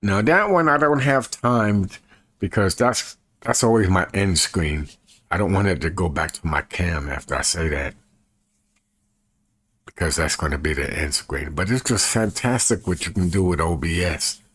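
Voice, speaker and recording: A man in his fifties with a husky voice, chatting casually to camera in a folksy way and repeating himself as he demonstrates. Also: low voice, expressive, moderate pace, a bit bright, dry recording. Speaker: evangelist and video creator. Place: small bedroom, indoors.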